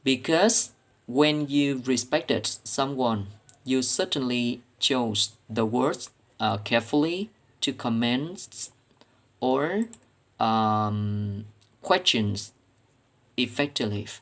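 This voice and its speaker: Slow-paced Vietnamese-accented man presenting. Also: mid-range voice, expressive, fairly bright tone. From Vietnam, Ho Chi Minh City. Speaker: student presenting coursework. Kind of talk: prepared reflection presentation.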